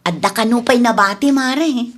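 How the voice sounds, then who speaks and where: A practical, spirited farmer's wife with a fairly high voice and an Ilocano Filipino accent, played by a woman. She is friendly and supportive, a village character in a radio comedy drama. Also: normal speed, fairly bright tone.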